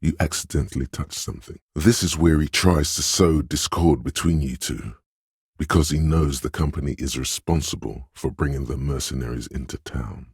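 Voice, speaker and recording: An actor voicing a sly inner voice in a video game, reading people and coaching in a measured, knowing, insinuating way with a gravelly, husky delivery. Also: male voice, a bass voice, no echo, studio quality, exaggerated voice. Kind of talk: scripted video game narration.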